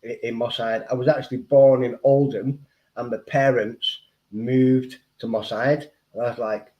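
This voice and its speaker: Mancunian man, livestream guest, mid-pitched, rambling, lo-fi dull audio. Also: fairly even intonation, moderate pace, a little mellow. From Manchester, England. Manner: rambling, repeats words, frequent fillers. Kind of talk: casual livestream chat.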